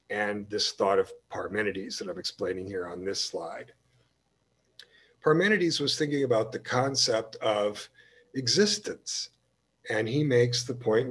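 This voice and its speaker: A man's voice: on the low side, breathy edge. A man giving a recorded slide lecture on philosophy, thinking aloud and often restarting his sentences. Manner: thinking aloud with frequent restarts and repeated words. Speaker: humanities lecturer.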